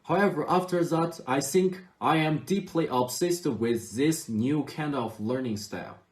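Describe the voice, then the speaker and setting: A man's voice: fairly high pitch, measured pace. A man in his twenties from China with a Chinese accent, giving a formal, carefully worded testimonial to camera. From Xinjiang, China. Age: 20s. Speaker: online student. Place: small indoor room at home. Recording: echoey room.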